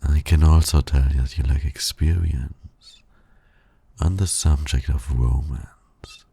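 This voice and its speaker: Casting: male actor for a suave villain lover in ASMR roleplay, extremely low, breathy voice, flirtatious and teasing. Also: fairly even intonation, warm tone, no room sound, heavily acted. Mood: teasing, intimate. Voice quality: gravelly, breathy. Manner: flirtatious, slow, purring, often dropping to a whisper. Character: suave, teasing male supervillain courting his hero nemesis.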